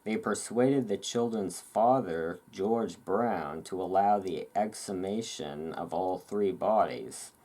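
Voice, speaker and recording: A host giving a relaxing ASMR reading of a historical article, with relaxed, informal delivery. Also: deep voice, slow pace, hardly any pauses, poor audio.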